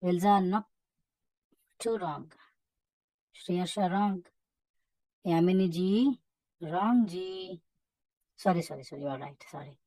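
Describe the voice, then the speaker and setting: Indian female online English teacher, lowish voice, brisk and instructive, close dry recording. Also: dark tone, no echo, poor audio. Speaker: English teacher running a live online reading practice class. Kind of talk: live interactive lesson, calling out names and marking answers.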